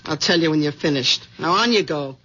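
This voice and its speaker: A nagging, impatient American wife in a 1970s radio mystery. Her voice is sharp and forceful, with a brisk, commanding manner. The recording is a dull vintage broadcast. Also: sits low, animated, breathy edge, heavily acted. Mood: bossy. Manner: scolding, commanding.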